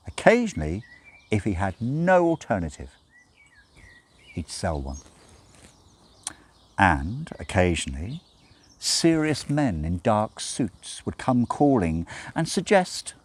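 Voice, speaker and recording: Low-voiced man telling a story, very animated. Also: breathy edge. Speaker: audiobook reader. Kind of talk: expressive scripted storytelling narration.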